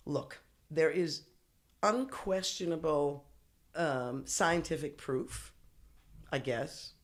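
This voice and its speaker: A woman's voice: deep voice, slow. A woman guest on a podcast, telling stories in casual chat with lots of swearing and laughter. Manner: profane, laughing, animated storyteller.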